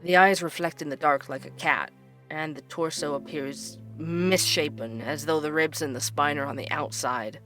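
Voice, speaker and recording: An actor playing an inquisitive podcast host, narrating and interviewing in a measured, deliberate way with dramatic pauses. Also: male voice, on the high side, sharp tone, soft background music. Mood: awed, intrigued.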